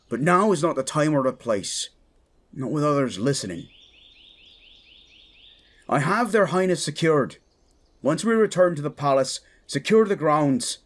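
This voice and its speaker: A man playing a protective, devoted young commoner guarding royalty in a fantasy audio drama, gruff and intense. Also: fairly high pitch. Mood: stern, urgent. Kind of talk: fantasy audio roleplay acting.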